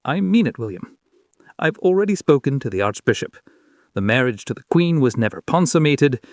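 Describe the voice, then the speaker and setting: A man's voice: mid-range voice, sing-song, moderate pace, no echo, studio quality, voice pushed up. A man voicing a fierce, anguished king in love in a dramatic story, impassioned and pleading. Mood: resolute, commanding.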